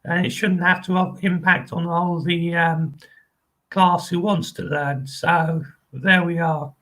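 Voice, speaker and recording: A man's voice: on the high side, flat delivery, takes it slowly, a bit bright, rough recording. A man hosting his own channel, chatting casually and hesitantly with lots of ums and restarts, repeating friendly phrases as he rambles. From England. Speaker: channel host. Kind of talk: casual live video chat.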